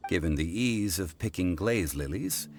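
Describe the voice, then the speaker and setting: A man playing a calm, refined, world-weary gentleman sage in a video game, speaking in a measured, formal way. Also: low-pitched, over music. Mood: satisfied, calm.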